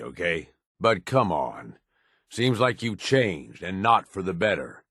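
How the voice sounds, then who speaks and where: Casting: cynical game raider sidekick, deep gruff drawl, blunt and loyal, close dry sound. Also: male voice, a bit bright, dry recording, exaggerated voice. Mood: awkward, troubled. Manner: rough colloquial drawl, blunt, swearing. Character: gruff cynical raider henchman, grudgingly loyal, foul-mouthed. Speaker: video game companion character. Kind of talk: video game dialogue lines.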